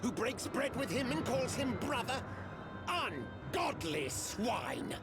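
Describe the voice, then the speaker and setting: A man's voice: very high-pitched, sing-song, slow pace, loud music behind the voice, heavily acted. A man playing a fanatical, hateful priest in a video game, declaiming with theatrical venom. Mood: defiant contempt. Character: fanatical zealot priest, male hostage-taker, defiant and hateful.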